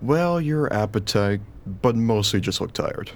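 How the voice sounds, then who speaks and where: An actor playing a young schoolteacher husband in a radio play, anxious, dutiful and a little exasperated. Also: male voice, lowish voice, expressive, measured pace. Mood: concerned. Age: young adult. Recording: faint background noise.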